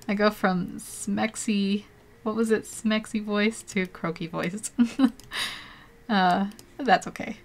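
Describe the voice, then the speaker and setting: A woman's voice: medium pitch, steady tone, slow pace. A young woman chatting casually on a live stream while teaching an art program, rambling and laughing often.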